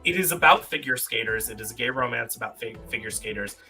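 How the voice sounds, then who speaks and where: Male live-stream game host, keen and emphatic, piercingly bright mid-range voice, light music underneath. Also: medium pitch, animated, fast talker. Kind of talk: casual chat and quiz hosting on a live stream. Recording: faint music behind the voice. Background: faint music.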